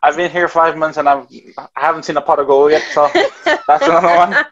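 A man's voice: on the high side, steady tone, a little fast, fairly bright tone. A young man chatting as a guest on a video call, joking and stumbling into restarts. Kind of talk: casual video-call chat. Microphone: earphone mic on a video call. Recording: dull lo-fi video call audio.

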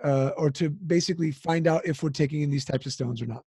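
A man's voice: on the high side, fast talker, gravelly, dark tone, slightly rough, dry recording. A man in his thirties hosting an interview podcast, speaking into a close studio microphone with a friendly, upbeat manner and long, loose questions. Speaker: podcast host.

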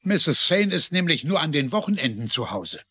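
An actor playing a folksy middle-aged sheriff in a TV detective drama, with hesitant hems and official phrasing. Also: male voice, on the high side, very animated, normal speed, sharp tone, slightly rough, dry recording, exaggerated voice. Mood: pondering, sympathetic. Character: folksy middle-aged small-town sheriff, plodding but dutiful. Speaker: actor in a dubbed crime drama. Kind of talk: German-dubbed TV detective drama acting.

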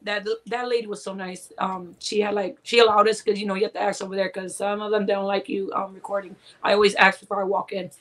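A woman's voice: mid-range voice, flat delivery, fast talker, few pauses, fairly bright tone, low-quality recording. Guest, a woman in her forties, talkative and laughing, on a home webcam call. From Puerto Rico. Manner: chatty, laughs often, tells stories with quoted asides. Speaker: guest cooking and Disney channel creator. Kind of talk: casual chat on a live video call. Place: small home room indoors. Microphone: video call from a webcam.

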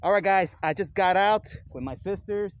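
A man's voice: high voice, moderate pace, highs cut off. A young man talking casually to viewers as he hosts a vlog on a phone outdoors. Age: young adult. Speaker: vlogger, channel host. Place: outdoors by a lake, handheld. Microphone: phone at arm's length. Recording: noisy outdoor phone recording.

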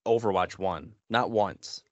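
A man's voice: deep voice, a little slow, no echo. A man chatting casually on a gaming podcast, wry and resigned. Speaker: podcast host. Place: small bedroom, indoors. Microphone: headset on a video call. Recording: slightly dull, lo-fi online call.

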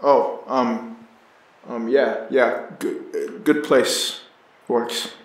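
A man's voice: medium pitch, fairly even intonation, extremely slow, slightly rough, poor audio. An actor playing a wary young man who narrates in first person, hesitant and full of ums, haunted by nightmares. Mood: awkward. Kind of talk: audio drama narration.

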